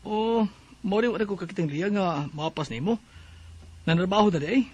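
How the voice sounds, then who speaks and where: Joking bachelor cousin, young, Cebuano accent, high voice, sly radio drama sidekick. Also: male voice, normal speed, husky, highs cut off. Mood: agreeable. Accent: Filipino, Cebuano.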